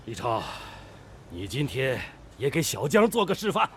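An actor playing a proud old squad leader at a steel plant in a radio drama, spirited and encouraging. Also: male voice, mid-range voice, very animated, normal speed, slight background noise. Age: older.

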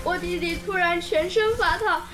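High-voiced, tearful young village girl. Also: moderate pace. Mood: anxious, tearful. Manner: urgent, tearful pleading. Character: frightened young village girl pleading for her brother. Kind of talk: radio drama acting. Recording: music under the voice.

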